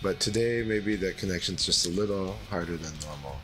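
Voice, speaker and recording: A man hosting a livestream in a relaxed, rambling way, his voice deeper than usual after secondhand smoke. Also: a little fast, faint music behind. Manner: relaxed, rambling, many fillers. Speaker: streamer. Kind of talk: casual livestream chat.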